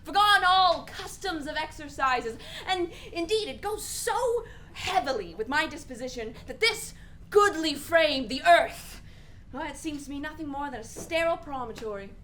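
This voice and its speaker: A woman's voice: sits high, lively intonation, normal speed, sharp tone, a little hiss or hum. A woman playing a restless young prince who feigns madness, in exaggerated, theatrical Shakespearean radio drama style. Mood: melancholy, brooding. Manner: exaggerated, punctuated with giggling.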